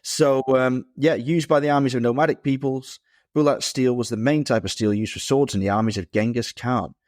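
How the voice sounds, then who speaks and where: A man's voice: medium pitch, fairly even intonation, brisk, darkish tone, dry recording, studio quality. A man co-hosting a casual podcast chat, explaining things fluently and with enthusiasm. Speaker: podcast co-host.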